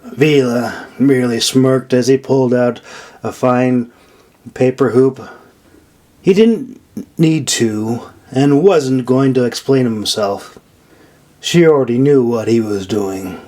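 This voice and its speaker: A man's voice: mid-range voice, darkish tone. A man narrating a fantasy audiobook from a script, as the author of the story. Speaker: author reading own audiobook.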